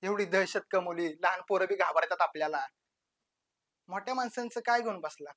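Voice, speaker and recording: A woman playing a brazen, menacing village gangster in a dramatic monologue, with a rural western Maharashtra accent and a taunting, swaggering manner that swings between hushed and loud. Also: lowish voice, moderate pace, lightly acted. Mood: boastful menace. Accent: rural western Maharashtra Marathi.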